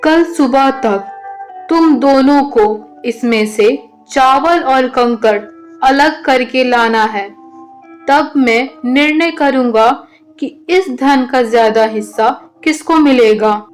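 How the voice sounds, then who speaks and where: Woman voicing a high, measured village headman. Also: faint music behind, rough recording. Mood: calm, authoritative. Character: male village headman, fair and wise judge. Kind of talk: children's moral cartoon story.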